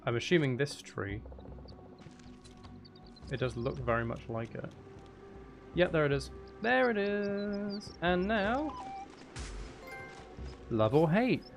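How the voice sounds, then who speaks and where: A man's voice: on the high side, lively intonation, slow pace, long pauses, soft background music. A man chatting casually on a live gaming stream, rambling and half talking to himself as he plays. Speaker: game streamer.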